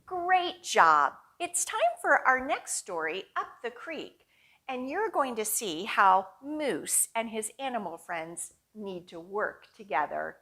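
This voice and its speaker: A woman hosting a children's storytime, reading aloud and leading rhymes with clear, deliberate phrasing for young listeners. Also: fairly high pitch, sing-song, pushed and bright. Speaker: children's library storytime host.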